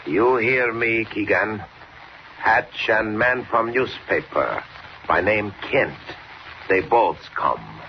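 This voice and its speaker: An actor playing a threatening Western gang boss with a foreign accent and broken English, in a 1940s radio drama style. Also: male voice, lowish voice, expressive, vocal fry, full character voice. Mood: cold menace. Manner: slow, deliberate, threatening. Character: menacing Western outlaw gang boss, adult man. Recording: dull, noisy old radio recording.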